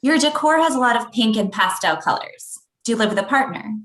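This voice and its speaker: A woman's voice: fairly high pitch, animated, fairly quick, fairly bright tone. A woman playing a persistent, skeptical thirty-year-old newspaper reporter with a dry, probing manner in a comedy table read.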